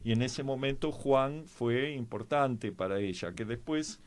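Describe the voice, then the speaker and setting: A man's voice: medium pitch, measured pace. A man with an Argentine accent and a mid-range voice talks history as a panelist. His commentary is conversational and discursive, with some hesitant phrasing.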